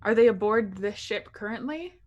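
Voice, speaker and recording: A woman's voice: mid-range voice, expressive, moderate pace, darkish tone, muffled recording. A woman playing a tabletop roleplaying game, talking conversationally as her character.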